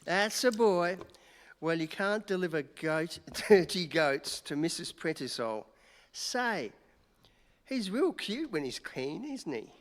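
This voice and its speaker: A man's voice: high-pitched, sing-song, slow, full character voice. A man playing an easygoing, wisecracking newspaper reporter in a radio play, folksy and wry. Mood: coaxing, amused. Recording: echoey hall, noisy stage recording.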